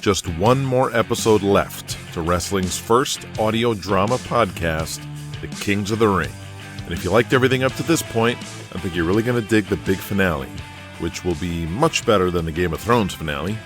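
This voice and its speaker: A man with a fairly low voice narrates an audio drama. He delivers scripted storytelling in a dramatic style as the show's host, with music clearly audible underneath. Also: moderate pace. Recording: music behind the voice. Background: music bed.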